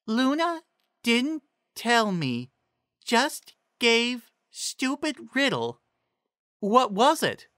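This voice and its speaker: A man voicing an earnest young female pegasus pony, with slow, drawn-out, simple phrasing. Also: very high-pitched, huge pitch swings, bright, forceful tone, no room sound, studio quality, pitched above the performer's own voice, full character voice. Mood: earnest, tired.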